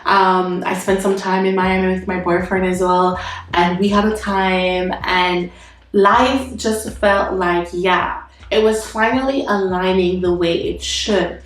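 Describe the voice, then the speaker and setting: A woman's voice: fairly low pitch, fairly even intonation, takes it slowly, far from the mic. A Jamaican woman vlogger with a nasal voice from a cold, telling a candid life update with lots of fillers and sighs. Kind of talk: casual life-update vlog. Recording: very echoey room, away from the mic, soft music behind.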